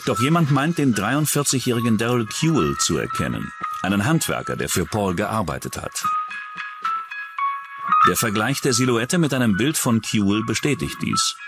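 Deep-voiced male true-crime narrator over music. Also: expressive, moderate pace. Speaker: documentary narrator. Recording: music under the voice. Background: background music.

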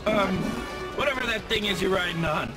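Casting: young game superhero, high voice, flippant, cocky, good-hearted, loud music underneath. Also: male voice, expressive, brisk. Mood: taunting. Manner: flippant, quippy. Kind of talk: video game cutscene acting.

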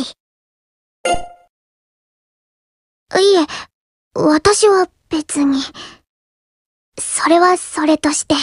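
A woman voicing a shy, polite, earnest young girl from a coffee house in an anime mobile game, soft-spoken and reserved. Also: high voice, dry recording, studio quality. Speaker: game character voice actor. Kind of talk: scripted anime mobile game character lines.

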